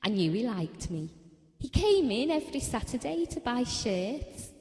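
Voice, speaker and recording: An actress playing a chatty, down-to-earth working-class wife in an audio drama, speaking in a colloquial, confiding way. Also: female voice, on the high side, very animated, measured pace, a little mellow, long reverb tail. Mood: fond, reminiscing.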